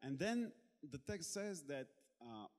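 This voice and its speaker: A Bulgarian-born man preaching in English, pausing often as he searches for words, with a playful edge. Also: fairly high pitch, sing-song, a little mellow. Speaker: guest preacher. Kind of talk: sermon in English with interpreter. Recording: long hall reverb.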